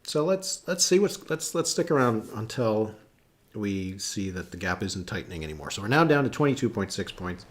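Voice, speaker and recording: A man's voice: on the low side, very animated, normal speed. A man hosting a live election analysis stream, chatting casually with many fillers as he thinks aloud and reacts to viewers. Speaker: political analyst hosting a livestream.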